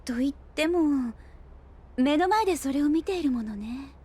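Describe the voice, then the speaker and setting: An actress playing a thoughtful young woman scientist in an audio drama, gentle, reflective and politely spoken. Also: high-pitched, lively intonation, measured pace. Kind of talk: Japanese audio drama acting. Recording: soft music bed behind.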